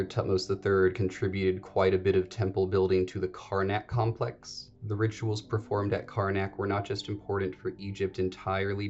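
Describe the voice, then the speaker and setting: A man's voice: low voice, a little mellow, lo-fi sound. A man hosting an educational podcast, talking through history in a chatty, digressive way and correcting himself as he goes. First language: English. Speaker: podcast host. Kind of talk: casual educational monologue.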